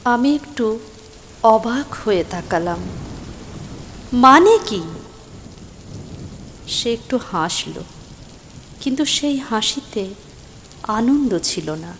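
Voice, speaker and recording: A woman playing a stranded, concerned young woman who narrates her own mystery story, emotive and suspenseful, with deliberate pauses. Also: on the high side, sing-song, a little hiss or hum, full character voice. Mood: puzzled, concerned. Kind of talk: scripted first-person dramatic story narration. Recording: voice over rain and thunder ambience.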